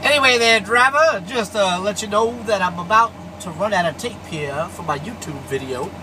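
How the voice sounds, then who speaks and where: A man's voice: high-pitched, lively intonation, normal speed, noisy background. A man hosting a trucker show, chatting casually on CB radio from inside a vehicle.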